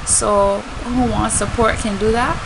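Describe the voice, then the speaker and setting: A woman's voice: on the high side, fairly even intonation, a little slow, vocal fry, noisy background. A woman from Barbados with a Bajan accent talks casually and emphatically to her fans, in an outspoken way. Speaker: singer and spoken word artist. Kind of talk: casual vlog talk to fans.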